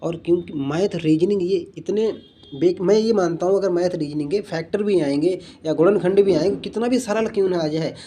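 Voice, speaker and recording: A man's voice: high-pitched, normal speed, mellow, slight background noise. A young man giving informal exam strategy advice as a coaching instructor, earnest and repetitive in the way he addresses his listeners.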